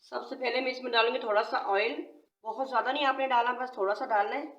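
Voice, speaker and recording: A woman's voice: on the high side, steady tone, quick pace, dull audio. Woman with a sore throat, echoey kitchen. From Pakistan. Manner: patient, repetitive explaining. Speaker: cooking channel host. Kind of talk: step-by-step recipe instruction. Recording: echoey room.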